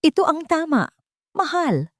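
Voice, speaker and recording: A woman voicing a scheming young fiancée in a story, sweetly manipulative, soft, coaxing and insinuating. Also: high voice, very animated, unhurried, no room sound, clean studio sound, voice pushed up.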